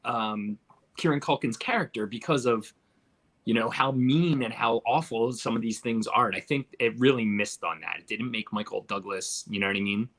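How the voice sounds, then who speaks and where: Mid-pitched man chatting about films. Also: normal speed, fairly bright tone. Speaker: film review panelist. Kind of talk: casual film discussion.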